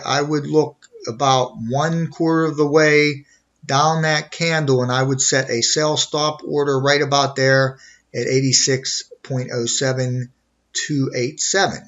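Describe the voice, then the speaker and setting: A man with a medium-pitched voice talks as an instructor walking through an on-screen tutorial. He explains methodically, one step at a time. The voice-over sounds a little dull and lo-fi. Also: a bit bright. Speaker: trading instructor. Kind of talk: informal screen tutorial walkthrough.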